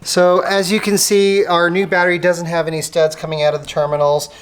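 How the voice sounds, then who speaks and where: A woman's voice: on the low side, little pitch movement, fairly quick. A woman presenting an informal step-by-step repair tutorial, talking casually while working with her hands. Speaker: presenter of a how-to car repair video. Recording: clearly noisy, clicking tool sounds.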